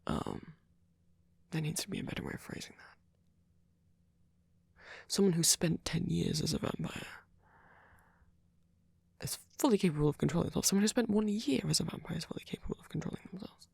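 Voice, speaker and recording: A man playing a gentle, centuries-old vampire boyfriend in an ASMR roleplay, speaking softly in a breathy, husky half-whisper with a hesitant, comforting manner. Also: fairly high pitch, fairly quick, pauses often, dry recording, full character voice. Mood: earnest, reassuring. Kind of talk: ASMR roleplay, soft intimate monologue.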